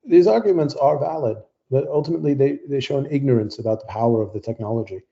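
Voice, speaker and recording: Actor as smug tech champion, very dark, mellow voice. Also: male voice, mid-range voice, fast talker, gravelly, lo-fi sound, close to an everyday voice. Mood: condescending, confident. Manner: lofty, dismissive, self-assured. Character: smug, zealous male AI champion and scientist in debate. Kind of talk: audio play debate.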